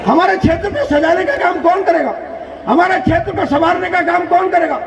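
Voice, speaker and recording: A man giving a loud, declamatory campaign speech as a politician, using repeated phrases and rhetorical questions. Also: very high-pitched, a little fast, vocal fry, muffled recording, some chatter behind, slight background noise. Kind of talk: political rally oratory. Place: large public political gathering. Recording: echoey PA at crowded rally, distant mic.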